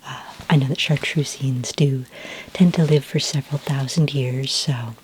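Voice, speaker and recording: A woman playing a patient, reassuring sci-fi skincare consultant in a soft-spoken, half-whispered ASMR roleplay, gentle and a little hesitant. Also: very deep voice, steady tone, slight background noise, poor audio. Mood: gentle, inquisitive. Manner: gentle, hesitant, unhurried, polite questioning.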